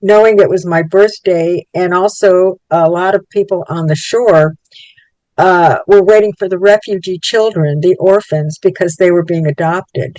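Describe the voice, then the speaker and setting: An elderly speaker in their eighties whose first language was Yiddish, recounting early life in a measured, searching way with a touch of wry humor. The pitch sits at a middling level. The sound is dry and close, with no room echo. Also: darkish tone. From Poland. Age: 80s. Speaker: Holocaust child survivor giving testimony. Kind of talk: personal recollection for a documentary.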